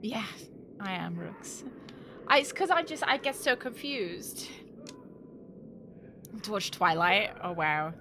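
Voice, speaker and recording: British woman streamer with very lively pitch. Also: slight background noise. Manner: chatty, thinking aloud to viewers. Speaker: live game streamer. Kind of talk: casual chat while playing a game.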